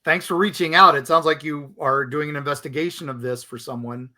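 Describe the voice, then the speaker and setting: A man's voice: fairly high pitch, steady tone, a little fast, pushed and bright. A man co-hosting a podcast, chatting loosely with many fillers and reading listener letters aloud. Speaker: podcast co-host. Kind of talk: casual chat, reading listener stories.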